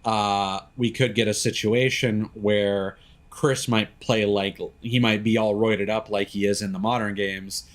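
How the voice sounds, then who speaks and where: A man giving casual, opinionated, sweary commentary as he streams a game live. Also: on the low side.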